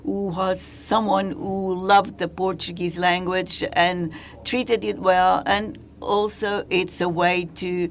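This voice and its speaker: A woman giving simultaneous interpretation at a conference, halting and hesitant. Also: on the low side, level tone, a little hiss or hum.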